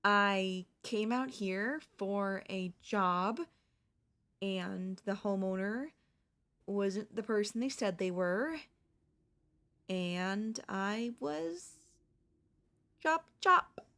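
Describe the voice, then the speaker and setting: Casting: woman to play young ghost girl for ASMR audio drama. Slow delivery, mid pitch, casual and frank, tender and wistful. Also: a bit bright. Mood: nonchalant, frank. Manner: casual, hesitant, matter-of-fact about grim things. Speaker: voice actor. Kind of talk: intimate ASMR audio roleplay.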